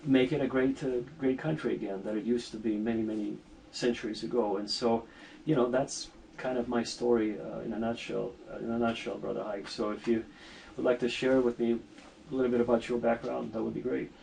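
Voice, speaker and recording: A middle-aged man from Armenia talks his way through his life story in an earnest, rambling style, often breaking off and restarting his sentences. His voice sits at an ordinary pitch and sounds a little distant from the microphone. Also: fairly even intonation, fairly quick, gravelly, darkish tone, a little hiss or hum. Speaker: interview guest. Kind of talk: casual video-call conversation.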